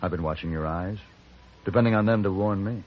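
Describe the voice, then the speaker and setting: An actor playing a composed, wry bounty hunter in an old radio western, with measured, dry, formal speech. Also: male voice, deep voice, lightly acted. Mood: calm, grave. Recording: muffled, noisy old radio drama recording.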